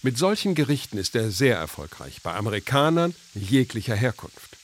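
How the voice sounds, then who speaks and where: A man's voice: mid-range voice, big pitch swings, normal speed, slight background noise. A man, a native German speaker, reading scripted documentary narration as a radio reporter.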